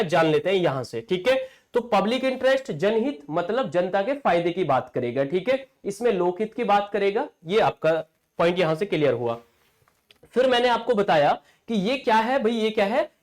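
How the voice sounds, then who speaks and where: A male exam coaching teacher lecturing in an explanatory, repetitive way, posing rhetorical questions and answering them himself. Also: high-pitched.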